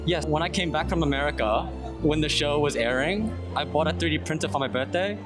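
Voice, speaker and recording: A man's voice: on the high side, a little fast, music in the background. A man in his late teens from Australia, explaining casually in an interview. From New South Wales, Australia. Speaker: cosplayer being interviewed.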